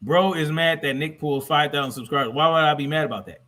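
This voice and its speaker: A man's voice: a little high, quick pace, pushed and bright. A man hosting a livestream in a combative, blunt, profane way, repeating phrases for emphasis as he reacts to clips and chat. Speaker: livestream host.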